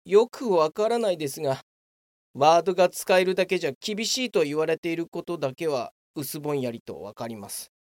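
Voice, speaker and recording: A woman's voice: sits low, lively intonation, a little fast, a little mellow, no room sound, studio quality. A woman playing a self-justifying, grumbling male office worker in a comedic radio drama. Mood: puzzled, insistent. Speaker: voice actor. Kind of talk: radio comedy drama acting with inner monologue.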